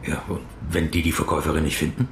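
A man's voice: very deep voice, steady tone, fast, gravelly, a bit bright, a little hiss or hum, heavily acted. An actor playing a dogged middle-aged man in a radio drama, terse and sceptical in his questioning. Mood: defensive. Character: dogged middle-aged man, a probing investigator under suspicion.

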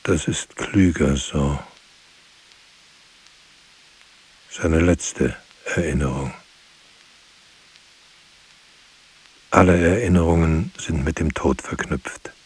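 An actor playing a grave narrator in a literary radio drama, speaking in a solemn, measured way. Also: male voice, a bass voice, husky, exaggerated voice, low-quality recording. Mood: mournful, reflective. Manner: solemn, measured, terse sentences. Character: grave male narrator recounting lives and losses.

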